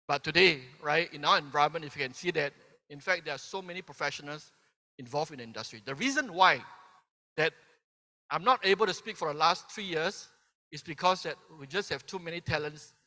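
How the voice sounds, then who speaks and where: Brief: Malaysian-born man, 50s, bright voice, convention stage with long hall reverb, keeps checking in with "right?". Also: a little high, a little fast. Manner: peppers sentences with "right?", asks the crowd questions. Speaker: keynote speaker. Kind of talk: motivational convention speech.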